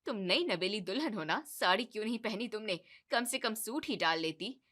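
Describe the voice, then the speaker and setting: A woman voicing a strict middle-aged mother-in-law in a cartoon story, nagging in a loud, scolding way. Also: mid-range voice, lively intonation, brisk.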